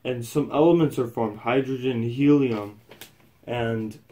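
A young man giving a casual, partly scripted history presentation in a loose, halting way, recorded indoors a few meters from a phone camera. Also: mid-range voice, dark tone. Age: young adult. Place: small indoor room at home.

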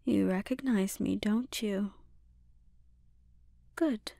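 A menacing sorceress acted out by a woman speaks very slowly, with a creaky edge to her voice. She is calm, dominant and taunting, often slipping into a whisper. The ASMR roleplay is close and dry. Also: mid-range voice, no echo. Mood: mocking, composed. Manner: slow, deliberate, taunting, lapsing into breathy whispers. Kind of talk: ASMR roleplay, intimate scripted monologue.

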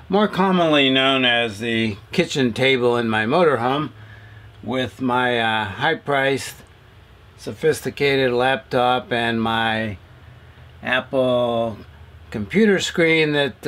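A man's voice: mid-range voice, a bit bright. A man in his sixties hosting a video, asking questions in a jovial, chatty way and laughing often. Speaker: host, interviewer. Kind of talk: casual interview and vlog talk. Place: artist's home studio, indoors. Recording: roomy echo.

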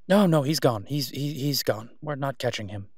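A man acts out a brusque, sarcastic vigilante antihero for an audio drama. His mid-pitched delivery is weary and clipped, turning emphatic when irritated. The sound is dry and close, with no room around it. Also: moderate pace, no echo, lightly acted. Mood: frustrated. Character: exasperated sardonic male vigilante antihero, brusque but protective. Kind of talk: solo audio roleplay acting, conversational.

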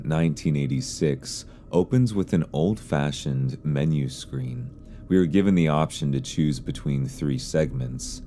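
A man reading scripted explainer narration in a measured, serious way, as a video narrator. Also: a bass voice, warm tone. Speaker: YouTube narrator.

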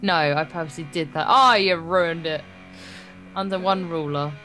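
A woman's voice: fairly low pitch, normal speed, sharp tone, faint music behind. A woman with an English accent reading a visual novel aloud and commenting casually as co-host. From England. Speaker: let's play co-host.

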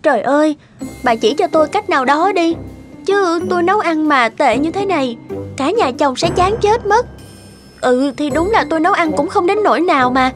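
A woman's voice: high-pitched, expressive, moderate pace. A woman playing a meek, eager-to-please young newlywed in a cartoon, speaking politely and hesitantly. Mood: fretting. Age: young adult. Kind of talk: cartoon dialogue. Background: background music.

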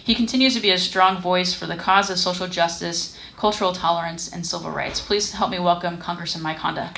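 Gravelly-voiced woman reading an introduction. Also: fairly low pitch, fairly even intonation, brisk, heavy background noise. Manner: reads from notes with fillers. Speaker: event emcee introducing the speaker. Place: large hall on a stage. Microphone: podium microphone.

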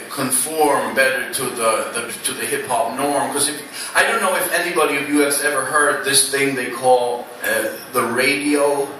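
Swedish man, 30s, slam poet, sharp bright tone, self-deprecating patter, club PA caught from far off. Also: medium pitch, very echoey, a little hiss or hum. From Sweden. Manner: dry, self-mocking, halting with many fillers. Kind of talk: stand-up style spoken word banter. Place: small club stage. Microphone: stand mic on stage, recorded from the audience.

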